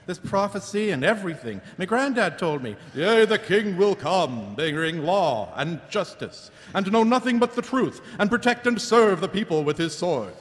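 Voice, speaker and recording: An actor playing an earnest, naive know-it-all member of a secret society in comic radio theatre. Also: male voice, sits high, sing-song, normal speed, few pauses. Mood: reciting prophecy. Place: stage in a hall. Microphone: stand microphone. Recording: echoey live stage hall.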